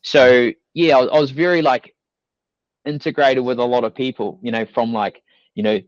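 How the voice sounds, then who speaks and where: A man's voice: medium pitch, fairly even intonation, moderate pace, no room sound. A young man with a New Zealand accent, a fitness coach chatting as a podcast guest, rambling loosely and leaning on fillers like "you know" and "like". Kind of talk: casual podcast interview. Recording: dull dry studio sound.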